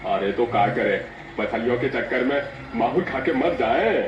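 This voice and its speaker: A man's voice: mid-range voice, expressive, measured pace, noisy background, natural voice. A man playing a grumbling, easily frightened village fisherman with rural north Indian Hindi and exclamatory, exaggerated delivery. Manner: exclamatory, exaggerated rustic talk. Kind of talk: animated dramatized story dialogue. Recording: echoey, dull, distant, over music.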